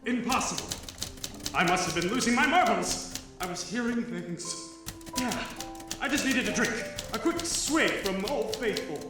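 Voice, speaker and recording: A man's voice: sits high, expressive, a little slow, echoey room. A man playing a cocky, self-dramatizing private detective in a 1940s noir radio parody, with wisecracking gumshoe slang and theatrical delivery. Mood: bewildered narration. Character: rough, cocky hard-boiled private detective, a male, self-dramatizing sleuth. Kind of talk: parody 1940s noir radio acting, alternating narration and dialogue. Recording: live stage radio play with music.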